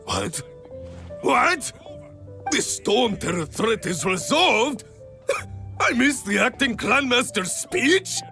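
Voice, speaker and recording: An actor voicing a boisterous, dramatic adventurers guild recruiter in a fantasy game, proclaiming everything theatrically. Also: male voice, a little high, bright, forceful tone, exaggerated voice. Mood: shocked, deflated. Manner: bombastic, proclaiming.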